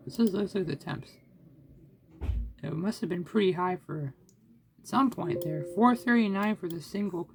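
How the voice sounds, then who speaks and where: A man's voice: sits high, gravelly, slight background noise. A man casually narrating his own computer tinkering and gameplay, thinking aloud and often restarting his sentences. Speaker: hardware hobbyist vlogger. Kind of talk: casual self-narrated screen recording.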